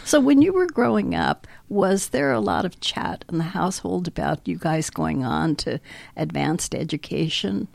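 Gravelly-voiced woman radio host. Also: lowish voice, sing-song, moderate pace, darkish tone, no room sound. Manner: chatty, upbeat, laughs easily. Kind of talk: radio interview.

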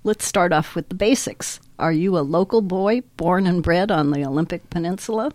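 A woman's voice: lowish voice, moderate pace, darkish tone, no room sound. A woman hosting a local radio interview show, chatty and upbeat, laughing easily with her guest.

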